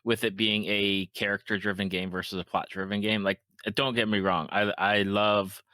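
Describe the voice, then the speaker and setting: A man's voice: on the low side, level tone, normal speed, bright, forceful tone, no echo. A man hosting a casual podcast chat, talking enthusiastically and repeating words for emphasis. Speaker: podcast host.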